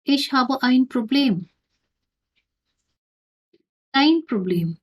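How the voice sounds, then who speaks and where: A woman language teacher reading short phrases aloud slowly and clearly, saying each one twice for learners to repeat. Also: high-pitched, lively intonation.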